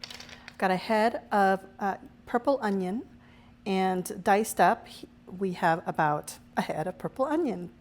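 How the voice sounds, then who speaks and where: A woman hosting her own cooking show, her voice a little raspy as she recovers from a cold, chatting through each step as she cooks. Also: mid-range voice, expressive, a little slow, slight background noise. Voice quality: slightly raspy. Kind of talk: casual cooking demonstration.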